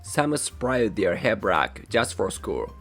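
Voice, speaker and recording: A young man from Japan, a native Japanese speaker with a Japanese accent, hosting his channel in measured, careful scripted narration. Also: on the low side, big pitch swings. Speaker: channel host. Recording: soft music behind voice.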